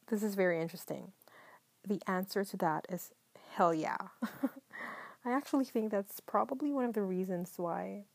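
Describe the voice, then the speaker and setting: A woman from the Philippines hosting a solo podcast, talking in a calm, mellow way, partly whispered, with many ums. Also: mid-range voice, unhurried, gravelly, pauses often, no echo. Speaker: podcast host. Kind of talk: soft-spoken solo podcast monologue.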